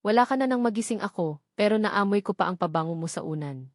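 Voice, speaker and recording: A distraught young woman in a romance story , as a woman narrator plays her. She pleads desperately and haltingly, in a dry, close recording. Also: medium pitch, lively intonation, no room sound, natural voice. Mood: desperate, insistent. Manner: stammering, desperate.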